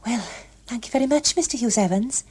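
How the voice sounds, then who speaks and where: Woman voicing a prim, high-pitched young woman. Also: a little fast, darkish tone, breathy edge. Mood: flustered. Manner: polite, prim, awkward protests. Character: prim, flustered young working woman, polite but firm.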